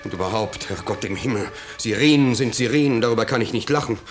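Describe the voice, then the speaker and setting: An actor playing an anxious, ingratiating middle-aged bourgeois host in a 1950s radio play, pleading and blustering. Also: male voice, medium pitch, fast talker, soft background music, close to an everyday voice. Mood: alarmed. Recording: noisy 1950s radio recording.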